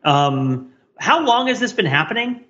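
A man's voice: high-pitched, very animated, unhurried, a bit bright. A man co-hosting a podcast, talking casually in a questioning, argumentative way on slightly dull audio. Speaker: podcast co-host. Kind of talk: casual conversational debate.

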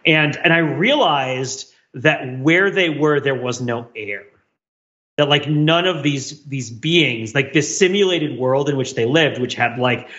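A man's voice: on the high side, bright, forceful tone, muffled recording. A man co-hosting a podcast, talking reflectively in long, winding explanations. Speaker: podcast co-host.

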